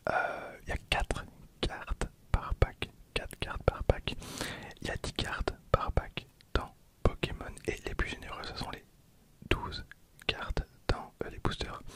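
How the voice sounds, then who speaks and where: A man in his twenties whispering softly as an ASMR host, hesitant and often repeating his words. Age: 20s. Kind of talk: whispered ASMR card-opening commentary.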